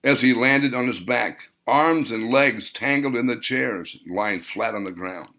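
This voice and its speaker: Deliberate male audiobook narrator, bright tone. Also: medium pitch. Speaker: author reading his own novel.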